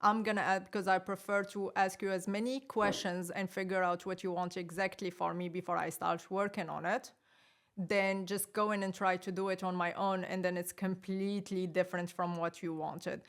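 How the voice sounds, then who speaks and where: A woman from Morocco, a podcast guest talking casually, with lively emphasis and frequent laughter. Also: fairly low pitch, moderate pace. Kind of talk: casual interview chat.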